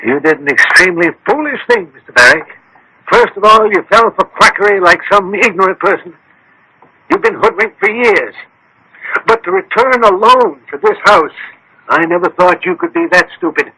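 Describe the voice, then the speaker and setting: An actor playing a shrewd, commanding doctor-detective in a radio mystery, articulate, authoritative and a little pompous. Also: male voice, high voice, expressive, moderate pace, bright, forceful tone, slightly rough, exaggerated voice, rough recording. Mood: scolding. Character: shrewd, commanding gentleman detective doctor, self-assured and exacting.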